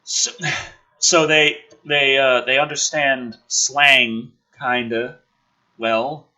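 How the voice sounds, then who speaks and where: A man's voice: mid-range voice, fairly even intonation, drawn-out words, pushed and bright, rough recording. A man giving ranting commentary as the host of a script review, exasperated, sarcastic and full of swearing. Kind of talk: ranting commentary mixed with dramatic script reading.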